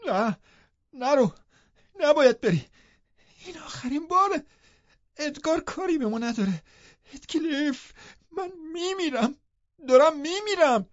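A man's voice: high-pitched, big pitch swings, dark tone, husky, no echo, dull audio, pitched above the performer's own voice, full character voice. A man voicing a desperate young woman in an audiobook, breathless, pleading and insistent. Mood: hysterical pleading. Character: desperate, shrieking young woman clinging to her lover.

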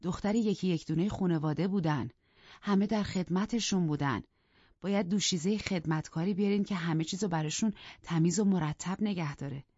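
A man's voice: sits high, a little mellow, no echo. A man reading an audiobook, voicing a proper, earnest middle-aged housekeeper in a polite, pleading way. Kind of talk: audiobook character voice. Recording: dull dry studio recording.

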